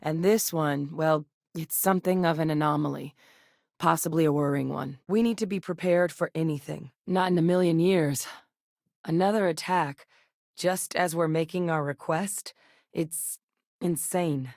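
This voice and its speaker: An actress voicing a seasoned, determined female military xenobiologist in a video game, speaking in a measured, earnest, matter-of-fact way. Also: deep voice, creaky, darkish tone, no echo, studio quality. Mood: troubled, tense. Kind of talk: scripted game dialogue.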